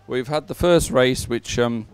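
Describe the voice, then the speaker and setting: A man commentating live at a sporting event and reading out results in a hesitant, halting way. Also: mid-range voice, clear, resonant tone.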